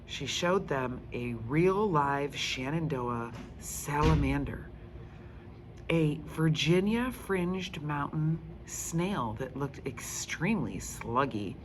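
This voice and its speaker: A woman storyteller narrating a children's book aloud in an expressive style. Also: low-pitched, takes it slowly. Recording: faint background noise.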